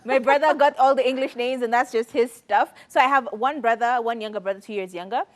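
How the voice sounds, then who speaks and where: A woman's voice: a little high, brisk. A woman in her twenties hosting a casual talk show, playful and joking as she asks her co-hosts questions. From Kenya. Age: 20s. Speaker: show host. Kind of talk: casual chat.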